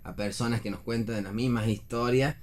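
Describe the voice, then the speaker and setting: An Argentine man, a stage actor, talking earnestly and haltingly in a casual radio discussion about theater, repeating words as he searches. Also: mid-range voice, fairly even intonation.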